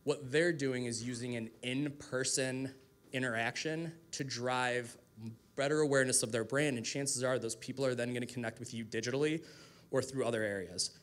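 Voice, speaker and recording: Male guest speaker, medium pitch, casual explanatory delivery for a live informal presentation on a mic. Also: fairly even intonation, normal speed, fairly bright tone. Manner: casual, explanatory, lightly profane. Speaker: guest speaker, digital marketing strategist. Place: live talk to a group in a room. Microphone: event microphone.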